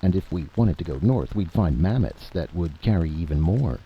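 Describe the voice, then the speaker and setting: A man's voice: sits low, animated, normal speed, lo-fi sound, slight background noise. A man voicing a practical, insistent adventurer with a folksy, argumentative manner in a story reading. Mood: pushy. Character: practical, insistent male time-travelling adventurer and schemer.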